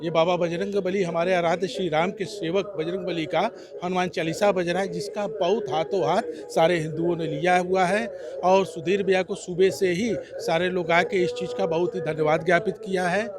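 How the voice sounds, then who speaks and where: A man's voice: high-pitched, fairly even intonation, normal speed, noisy background. A man giving an effusive, devout testimonial in an interview, in long run-on sentences. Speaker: local supporter interviewee.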